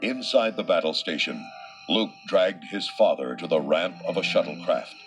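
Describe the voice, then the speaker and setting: A man narrates an adventure storybook in a somewhat low voice. His scripted delivery is dramatic and suspenseful. Loud music plays behind him. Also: expressive, moderate pace.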